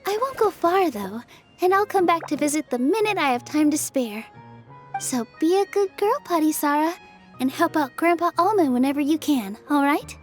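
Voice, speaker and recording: A gentle young fairy who speaks softly and kindly in a very high voice. Light game music plays under her. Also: lively intonation, moderate pace.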